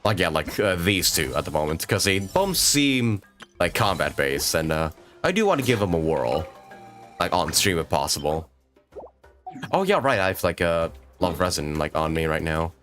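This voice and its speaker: A man with a low, lively voice chats casually as he livestreams a game, with music playing behind him. His delivery is hesitant and rambling, as if thinking aloud. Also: moderate pace. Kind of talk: casual gaming livestream chat. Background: video game music.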